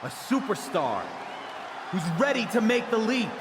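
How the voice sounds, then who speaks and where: An actor playing a proud champion wrestler giving a measured, showy promo in a video game. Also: male voice, high-pitched, very animated. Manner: projected, measured, showman-like. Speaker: pro wrestler. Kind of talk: scripted in-ring wrestling promo. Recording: arena reverb with crowd noise.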